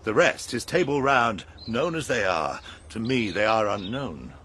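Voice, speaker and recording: An actor playing a proud, hearty old lord and father in a verse radio drama, husky and declamatory as he hosts his guest. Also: fairly low pitch, expressive, a little slow, pushed and bright, slight background noise. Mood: courteous curiosity.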